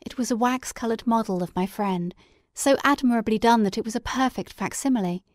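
A woman's voice: on the high side, brisk, a little mellow, no echo. Woman narrating, close and dry. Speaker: audiobook narrator. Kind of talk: scripted literary narration.

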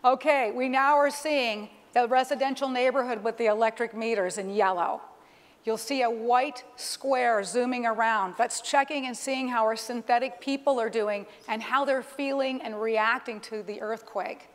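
A middle-aged woman presents a live keynote demonstration, explaining as she goes. Her voice is on the high side and rings with the long reverb of a large hall. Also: steady tone, normal speed. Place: large conference stage, indoors. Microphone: clip-on lapel microphone. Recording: reverberant hall sound through PA.

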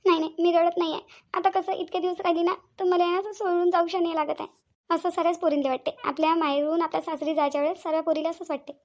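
A woman's voice: extremely high pitch, little pitch movement, very fast. A woman playing a tender, earnest young married village woman in a family drama, with a Varhadi Marathi accent and an emotive, pleading way of repeating phrases. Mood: tender, consoling. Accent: Varhadi Marathi from Vidarbha. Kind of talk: amateur family drama acting.

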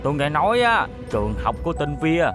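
A male cartoon henchman with a high, animated voice. He is a fawning, exaggerated sidekick to a villain, heard over faint music. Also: a little fast. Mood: eager, fawning. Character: fat male villain's henchman, eager but doubtful sidekick. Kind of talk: dubbed children's cartoon acting.

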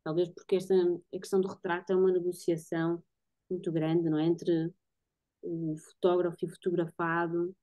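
Woman, European Portuguese accent, artist guest. Lowish, mellow voice, reflective, conversational delivery. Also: level tone, moderate pace. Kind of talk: casual explanatory conversation about own work. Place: home bedroom-living room, indoors. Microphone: computer mic on a video call. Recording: dull, lo-fi video-call audio.

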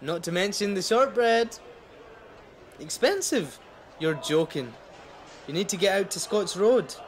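A man's voice: high voice, lively intonation, a little slow, a little hiss or hum. A man doing voice-over for adverts, acting out sales scripts with theatrical, emphatic delivery. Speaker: voice-over actor.

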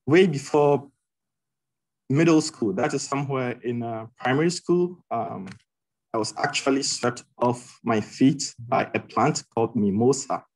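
A man's voice: mid-range voice, measured pace, a little mellow, poor audio. A young man from Ghana, a PhD student telling his story with enthusiasm over a video call.

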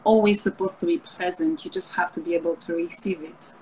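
A woman's voice: lowish voice, steady tone, a little fast, a little mellow, slight background noise, rough recording. A woman who grew up in France, speaks casually and reflectively as an interview guest over a video call. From Paris suburbs, France.

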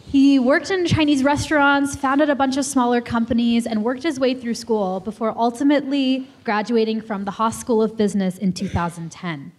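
A woman's voice: fairly high pitch, normal speed, few pauses, darkish tone, slight background noise. A woman in her twenties, a student, giving a formal and fluent guest introduction into a handheld mic at a lecture-hall podium. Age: 20s. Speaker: student event coordinator.

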